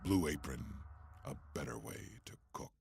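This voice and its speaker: A man's voice: very deep voice, animated, moderate pace, gravelly, darkish tone, a little hiss or hum. A man hosting a podcast, reading a sponsor ad in a chatty, scripted way. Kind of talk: chatty host-read ad. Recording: music behind the voice.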